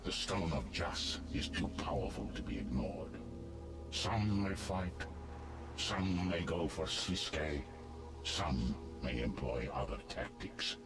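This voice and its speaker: Very deep, solemn underworld god. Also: male voice, expressive, takes it slowly, loud music behind the voice, full character voice. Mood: grave warning. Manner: solemn, formal, portentous. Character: grave, commanding male god of the underworld. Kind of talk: fantasy game voice acting.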